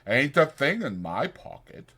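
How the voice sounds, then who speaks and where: A man's voice: medium pitch, sing-song, measured pace, bright, forceful tone, breathy edge, pitched above the performer's own voice, heavily acted. A man voicing a simple-minded, eager, forgetful working man, hesitant, repetitive and naive, in a dramatized audiobook. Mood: sheepish.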